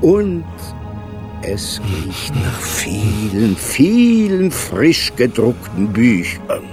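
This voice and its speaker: An actor narrating a radio play as a measured storyteller who savours each phrase. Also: male voice, fairly low pitch, wildly swooping pitch, exaggerated voice. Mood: savouring. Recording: loud music under narration.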